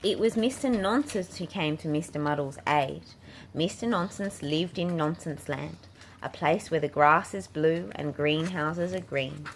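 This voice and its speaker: A woman's voice: sits low, measured pace. A woman reading a picture book aloud to children as a storybook narrator. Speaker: children's book reader and channel host. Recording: clearly noisy home recording.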